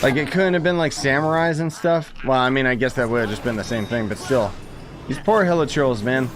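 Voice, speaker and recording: A man's voice: medium pitch, brisk. A young man giving casual, joking, sarcastic commentary while playing a video game. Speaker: gaming commentator. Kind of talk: casual let's-play commentary.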